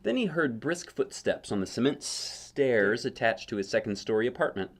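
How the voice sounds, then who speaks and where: A man's voice: medium pitch, lively intonation, moderate pace. A man reading a children's picture book aloud as a parent would, conversationally, with casual asides and questions to the listener. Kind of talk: storybook read-aloud with chatty asides.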